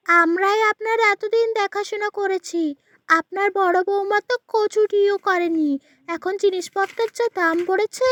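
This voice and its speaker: A woman's voice: very high voice, voice pushed up. A woman voicing a spiteful young daughter-in-law who scolds and mocks. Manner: scolding, mocking, sharp.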